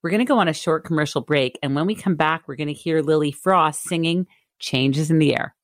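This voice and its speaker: A middle-aged woman hosting an interview show, asking long set-up questions in a warm, gushing way. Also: deep voice, brisk, no room sound, studio quality. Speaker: radio show host.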